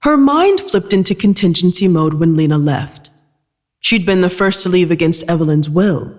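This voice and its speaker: A narrator reading scripted audio fiction in a measured storytelling style. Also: medium pitch, expressive, in a large hall.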